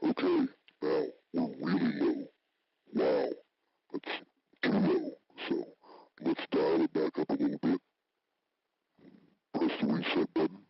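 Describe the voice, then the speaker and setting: A man hosting an informal electronics tutorial, speaking through a pitch-shifting voice changer and small speaker. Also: fairly even intonation, takes it slowly, vocal fry, pauses often, slightly rough, no room sound. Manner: informal step-by-step explaining. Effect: pitch-shifting electronic voice changer played through a small speaker. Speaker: tutorial host. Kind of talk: hands-on electronics demonstration. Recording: dull sound from cheap speaker.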